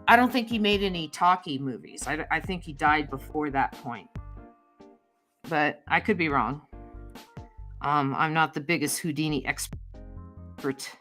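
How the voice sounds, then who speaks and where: A woman's voice: fairly low pitch, bright, forceful tone. A woman co-hosting a casual chat show, rambling and thinking aloud with fillers while she draws. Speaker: co-host drawing a comic.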